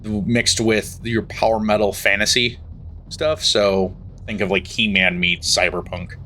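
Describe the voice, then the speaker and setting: A man's voice: on the low side, flat delivery, measured pace, pushed and bright, slight background noise. A young man streaming, chatting casually and thinking aloud as he writes a story. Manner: thinking aloud, trailing off, muttering while typing. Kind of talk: casual livestream chat while writing a story. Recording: faint music in the background.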